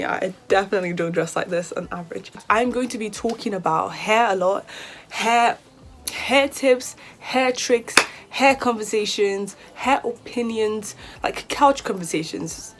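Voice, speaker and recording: A woman in her twenties from Nigeria with a British accent, talking casually to camera as a hair vlogger, chatty and lively and sometimes flustered. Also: on the high side, slight background noise. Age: 20s. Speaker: beauty vlogger.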